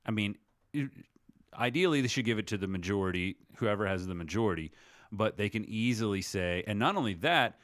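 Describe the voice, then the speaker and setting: A man with a low voice chats casually about politics on a podcast, close and dry. He explains things in a rambling way, often rephrasing as he goes. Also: animated, brisk, a bit bright, dry recording. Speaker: podcast co-host.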